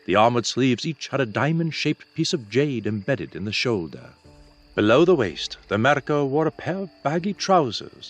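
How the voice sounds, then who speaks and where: A man reading a fantasy novel aloud as scripted audiobook narration. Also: mid-range voice.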